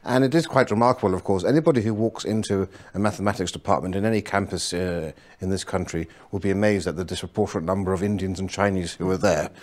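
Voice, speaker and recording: A man on a studio panel, speaking in a quick, indignant way. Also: low voice. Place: small studio set with armchairs.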